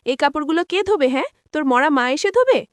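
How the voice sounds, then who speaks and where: Woman playing a harsh, high-voiced cartoon stepmother. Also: sing-song, quick pace, dry recording. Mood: angry scolding. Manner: harsh, taunting, scolding. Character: cruel, scolding stepmother, bullying and threatening. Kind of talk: cartoon voice acting.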